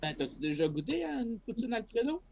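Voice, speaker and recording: A man's voice: very high-pitched, very animated, a little fast, vocal fry, a little mellow, a little hiss or hum. A man with a Québécois accent chatting casually and describing things in detail. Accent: Québécois French. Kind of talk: casual chat on a stream.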